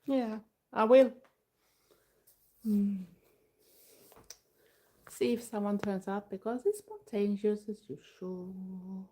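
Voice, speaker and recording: An older Swedish woman with a clear Swedish accent, talking English as her second language. Her voice is mellow and soft-edged at a middling pitch. She chats in a loose, unhurried way, filling the gaps while she watches for viewers. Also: slight background noise. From Sweden. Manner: relaxed, chatty, pauses often to read the chat. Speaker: live streamer. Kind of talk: casual solo live stream chat.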